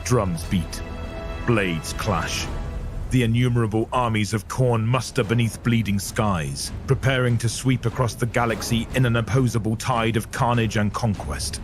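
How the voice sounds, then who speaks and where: A man's voice: mid-range voice, moderate pace, music in the background. A man giving dramatic scripted narration for an audio drama, grave, portentous and theatrical.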